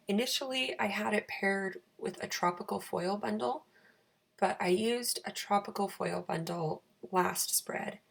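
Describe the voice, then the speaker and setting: Raspy, creaky-voiced woman vlogger. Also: medium pitch. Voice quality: raspy, croaky. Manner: chatty, self-deprecating. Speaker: planner channel host. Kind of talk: casual craft voice-over.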